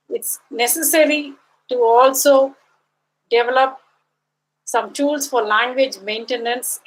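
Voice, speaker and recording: Halting Tamil-native woman chairing a session. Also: fairly high pitch, rough recording. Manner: measured, halting with frequent fillers. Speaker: session chair at an academic seminar. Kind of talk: summarizing academic session.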